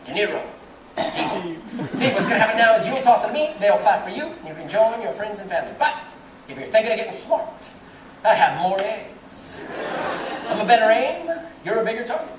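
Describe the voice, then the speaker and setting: A man performing a live comedy magic act on a theater stage, teasing a volunteer with quick jokes. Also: high voice, sing-song, heavy background noise. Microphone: far audience camera. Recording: roomy theater sound from far off. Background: laughing, cheering audience.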